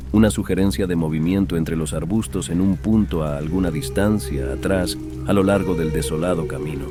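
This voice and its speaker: Deep-voiced man narrating horror over music. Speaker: audiobook narrator. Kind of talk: dramatic scripted horror narration. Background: background music.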